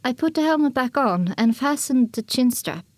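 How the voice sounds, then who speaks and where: A woman plays a young filly pony narrating her own journey in a dramatic audiobook. The voice is fairly high and recorded very dry, with a reflective, scripted delivery. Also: animated, moderate pace, no echo. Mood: reflective, somber. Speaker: first-person narrator.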